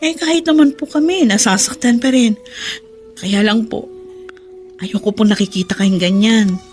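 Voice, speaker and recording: A woman acting a caring, worried adult daughter in a Filipino radio drama, earnest, respectful and gently scolding. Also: medium pitch, normal speed, faint music behind, close to an everyday voice. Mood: concerned, gentle.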